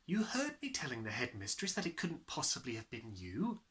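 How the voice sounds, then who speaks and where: A gentle woman schoolteacher from a children's storybook, put on by a man. She speaks softly and patiently, a little puzzled, with a soothing care and a very mobile pitch. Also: wildly swooping pitch, normal speed. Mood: calm. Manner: soft, careful, soothing.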